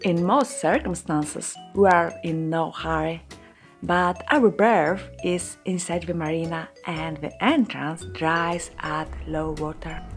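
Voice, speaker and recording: A woman in her forties whose first language is Polish, narrating a sailing vlog in a slow, careful, explaining manner. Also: sits low, faint music behind. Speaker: vlogger narrator. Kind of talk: voice-over narration.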